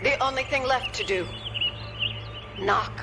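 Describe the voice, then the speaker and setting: A woman's voice: on the high side, a little slow, music in the background, lightly acted. An actress playing a determined scientist reporting from the field in a radio drama, with outdoor noise around her. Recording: noisy outdoor location with birds.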